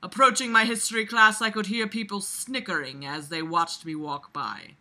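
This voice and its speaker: Bright, forceful, exasperated reader-commentator. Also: medium pitch, normal speed. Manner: exasperated, sarcastic, blunt and swearing; animated, emphatic, jumps from muttering to loud outbursts. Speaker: reader reviewing a book aloud. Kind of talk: casual running commentary while reading a novel aloud.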